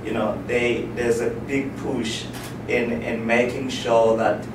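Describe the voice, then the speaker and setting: A man talks on a festival panel, groping for words with many false starts. He sits well back from the microphone in an echoey room. A steady hum of background noise runs beneath him. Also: mid-range voice, takes it slowly, recorded from a distance. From South Africa. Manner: hesitant, many restarts and fillers. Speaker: filmmaker on a panel. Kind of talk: unscripted panel talk.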